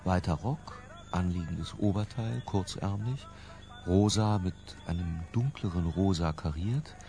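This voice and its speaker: An actor playing a wry, literary middle-aged man who narrates his own story in a radio drama, measured and ironic. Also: low-pitched, warm tone, faint music behind. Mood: wry, reminiscing. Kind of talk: scripted first-person narration in radio drama.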